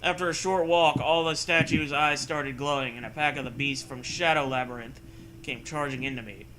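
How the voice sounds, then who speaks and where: A man's voice: fairly high pitch, steady tone, moderate pace, bright, forceful tone, slight background noise, low-quality recording. A man co-hosting a comedic reading of a story, hammy and dramatic, breaking off for casual joking asides. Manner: hammy, breaks off to riff and joke. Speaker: co-host reading a story aloud.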